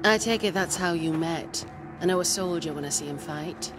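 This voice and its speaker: A woman's voice: lowish voice, normal speed, over music, natural voice. An actress playing a weary, defiant woman soldier with a terse, commanding manner in a video game. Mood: wry. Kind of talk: dramatic video game acting.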